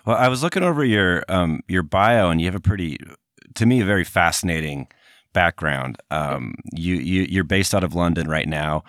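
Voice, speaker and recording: An interview host asking questions in a relaxed, halting way, with many restarts and easy laughter. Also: deep voice, no room sound, studio quality. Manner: hesitant, restarts often, laughs along. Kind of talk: casual interview.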